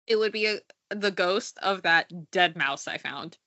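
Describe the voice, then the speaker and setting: A woman's voice: lowish voice, a little slow, pushed and bright. A congested young woman co-hosting a podcast, chatting casually, teasing and swearing freely. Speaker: podcast co-host. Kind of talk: casual chat. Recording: muffled lo-fi recording.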